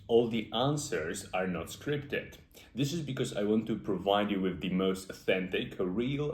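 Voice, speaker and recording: Polish-accented man, very deep voice, earnest, unscripted, echoey room. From Poland. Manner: earnest, reflective, with frequent "uh" fillers. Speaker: self-improvement YouTuber. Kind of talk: unscripted motivational monologue.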